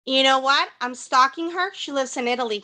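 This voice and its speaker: High, bright woman. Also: a little fast. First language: Spanish. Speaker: co-host, social media manager. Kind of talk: casual advice discussion, reading questions.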